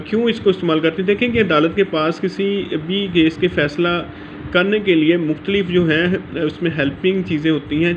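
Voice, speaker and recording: A man's voice: fairly high pitch, highs cut off, slight background noise. A man with a Pakistani accent gives an informal law lecture from notes, mixing English legal terms into his speech.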